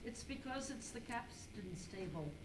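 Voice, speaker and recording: A woman's voice: fairly high pitch, animated, unhurried. A woman playing a polite, eager cowgirl servant in an amateur radio skit. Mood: polite, welcoming. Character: polite eager cowgirl dining room hostess and housekeeper. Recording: noisy echoing room, distant mic.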